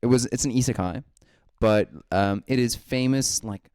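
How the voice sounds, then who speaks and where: A man's voice: medium pitch, moderate pace, darkish tone, smooth, dry recording, clean studio sound. A man co-hosting a gaming podcast, rambling through his thoughts with lots of likes and ums. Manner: rambling, full of likes and ums, laughs easily. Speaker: podcast co-host. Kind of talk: casual gaming podcast chat.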